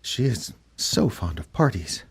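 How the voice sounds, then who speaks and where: A man's voice: sits low, very animated, unhurried, husky, exaggerated voice. A man playing a nervous, naive, lovesick customer in an audio drama, speaking in a hesitant, flustered way. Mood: lovestruck wonder.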